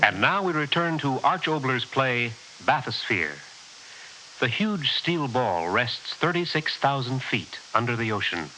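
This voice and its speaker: A man announcing formally and in a measured way in the style of 1960s radio. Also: mid-range voice, big pitch swings. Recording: faintly hissy old radio recording.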